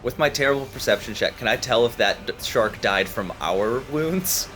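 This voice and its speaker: A man's voice: medium pitch, expressive, moderate pace, fairly bright tone. A man playing a tabletop game, talking casually with the group. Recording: background music under voice. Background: background music.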